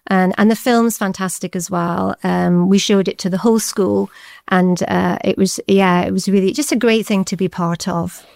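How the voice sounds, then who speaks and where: A woman's voice: medium pitch, steady tone, normal speed, vocal fry, a little mellow, no echo, clean studio sound. A Scottish woman in her forties, a deputy head teacher, answering interview questions in a chatty way with many fillers. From Scotland.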